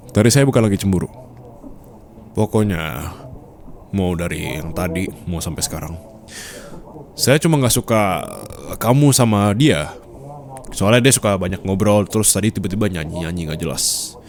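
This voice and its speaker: A man's voice: sits low, expressive, moderate pace, long pauses, a little mellow. A man with an Indonesian accent playing a possessive, teasing boss and doting fiancé in an intimate ASMR roleplay, flirtatious and playfully domineering. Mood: jealous, defensive. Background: ambient room sound effects.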